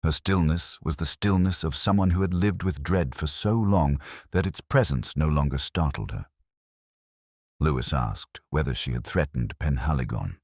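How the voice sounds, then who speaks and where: A man reading a scripted first-person detective story in a solemn, measured, literary way. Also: a bass voice, husky, no echo, clean studio sound. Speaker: narrator.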